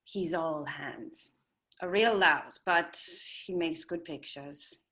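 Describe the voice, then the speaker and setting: A woman acting a glamorous, quick-witted 1940s scientist in a table read, poised and knowing. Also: low voice, pushed and bright, full character voice. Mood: coy, chatty.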